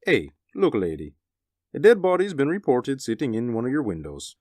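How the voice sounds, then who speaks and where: A gruff New cop. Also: male voice, medium pitch, wildly swooping pitch, moderate pace, darkish tone, no echo, clean studio sound. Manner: gruff and pushy. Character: brusque, no-nonsense city policeman. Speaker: police officer. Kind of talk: 1940s radio acting.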